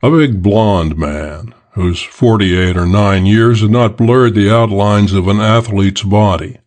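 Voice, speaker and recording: A man's voice: fairly low pitch, takes it slowly, darkish tone. A man reading a first-person detective story as an audiobook narrator.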